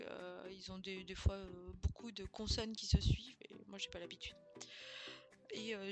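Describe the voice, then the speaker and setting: A mother streaming a video game, chatting casually with viewers and hesitating often. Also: medium pitch. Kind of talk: casual gameplay stream chat. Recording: game music playing behind.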